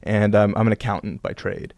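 A man's voice: fairly low pitch, flat delivery, brisk, gravelly, a little mellow, no room sound. A man who grew up in Kuwait and Canada, speaking earnestly and reflectively as an interview guest, with many "you know" fillers. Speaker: guest, religious content creator. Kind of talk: casual interview talk.

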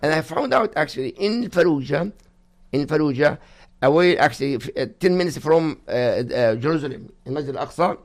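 Older Gaza-born man, halting broken English. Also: on the high side, animated. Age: 60s. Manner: halting non-native English with broken grammar. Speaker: guest. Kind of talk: personal testimony in an interview.

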